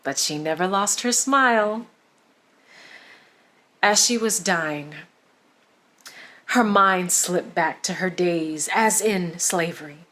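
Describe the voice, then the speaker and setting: A woman reading a scripted children's story aloud as narrator, expressive and deliberate, with emphasis on key words. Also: fairly low pitch. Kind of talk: scripted read-aloud narration of a saint's life.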